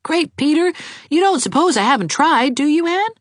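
A woman's voice: high voice, expressive, no room sound, studio quality, pitched above the performer's own voice. A woman voicing a lovesick, gloomy young man who talks in an earnest, fretful rush. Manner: earnest, fretful, fast-talking. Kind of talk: audiobook character voice.

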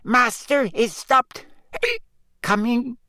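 A tiny female house-elf, drunk and tearful, as a man puts her on. Her voice is very high and squeaky, and it drags along slowly and slurs. The recording is dry. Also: sharp tone, smooth, dry recording, heavily acted. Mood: drunk pleading. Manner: slurred, squeaky, pleading.

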